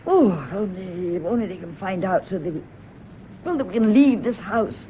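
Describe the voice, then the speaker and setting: A woman's voice: mid-range voice, lively intonation, normal speed, natural voice. Actress as anxious, wronged woman, swooping voice. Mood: uneasy, anxious. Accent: British. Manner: agitated, intense, pleading. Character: Elizabeth, anxious, wronged lady's companion in love with widower. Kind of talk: British radio drama acting. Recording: dull lo-fi radio recording, faint noise.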